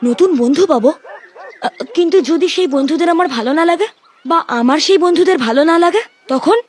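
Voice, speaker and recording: Cheeky little boy, high voice, fast chatter, teasing, cartoon, faint music. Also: lively intonation. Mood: doubtful. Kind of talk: cartoon voice acting.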